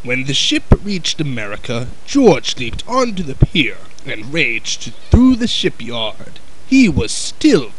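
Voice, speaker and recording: A man's voice: mid-range voice, sing-song, takes it slowly, a bit bright, a little hiss or hum, rough recording. A man reading a comic storybook dramatically, with emphatic delivery and wry asides.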